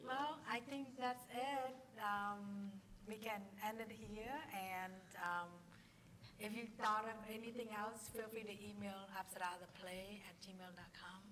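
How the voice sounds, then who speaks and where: A woman hosting in a relaxed way in a casual group discussion. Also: mid-range voice, measured pace, slight background noise, poor audio.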